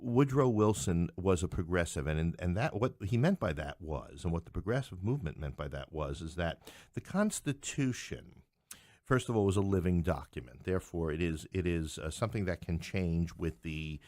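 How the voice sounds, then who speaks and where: Middle-aged male podcast guest, lower register, dry recording, explains things in stop-start, rephrased phrases. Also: lively intonation, a little mellow, dry recording. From New York City. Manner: halting, explanatory, repeats and rephrases himself. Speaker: podcast guest, playwright and English professor. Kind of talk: conversational political discussion.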